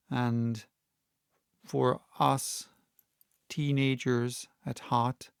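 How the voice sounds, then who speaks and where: Unhurried man with a creaky voice. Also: medium pitch, no echo, clean studio sound. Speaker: audiobook reader and channel host. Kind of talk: casual introduction and expressive audiobook narration.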